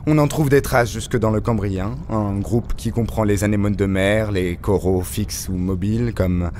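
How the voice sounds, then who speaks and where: A man's voice: lowish voice, normal speed, darkish tone, slight background noise, close to an everyday voice. An actor playing a cryptic, erudite male recluse in an audio drama, theatrical and condescending, over prominent music. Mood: grave, lecturing. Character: cryptic, erudite male deep-sea recluse, scornful of surface dwellers. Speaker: reclusive expert character. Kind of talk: French audio fiction acting.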